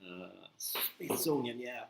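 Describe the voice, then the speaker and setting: A man's voice: medium pitch, animated, takes it slowly, creaky, roomy sound, noisy background. A man from Sweden with a Swedish accent, chatting casually to viewers of his live stream while building, rambling and answering comments. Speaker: livestream host building a model kit.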